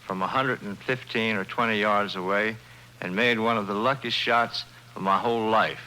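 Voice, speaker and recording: A man's voice: lowish voice, bright, forceful tone, low-quality recording. A man giving a stiff, scripted testimonial in a 1950s radio advertisement.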